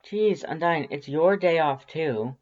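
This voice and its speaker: A woman's voice: sits low, lively intonation, takes it slowly, a little mellow, lo-fi sound, voice pushed up. A woman voicing a casual, good-natured young human protagonist in an audio story, conversational and exclamatory. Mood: protesting.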